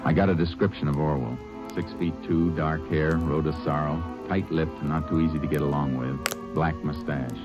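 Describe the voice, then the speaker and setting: Radio western lawman: very deep voice, understated, determined, measured delivery, old broadcast sound. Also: fairly even intonation, muffled recording. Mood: grim, reflective. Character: determined, steady Texas Ranger lawman. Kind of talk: old-time radio western acting and first-person narration. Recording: vintage radio drama recording with music.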